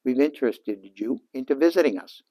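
A man's voice: mid-range voice, animated, a little fast, mellow, no echo. An older man hosting a walking tour of a large furniture showroom, talking to viewers in an enthusiastic salesman's patter through a lapel mic.

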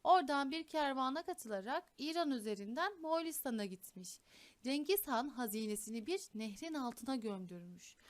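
A woman narrates fairy tales for children. She reads from a script as an expressive storyteller. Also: sits high, normal speed.

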